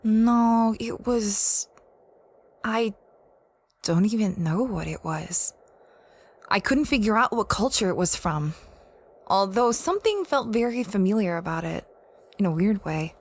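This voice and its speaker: A woman's voice: mid-range voice, animated, unhurried, pauses often. A woman playing a young female space adventurer in an audio drama, conversational and a little hesitant, anxious and evasive. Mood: evasive, puzzled. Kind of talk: audio drama acting and narration.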